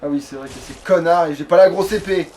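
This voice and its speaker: A man's voice: a little high, fast, a little mellow. A man doing casual, informal gameplay commentary as a let's player, with swearing. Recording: distant mic, clear background noise.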